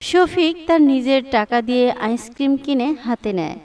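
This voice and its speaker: Woman narrator, high voice, calm storybook delivery, set back from the mic. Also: moderate pace, distant mic.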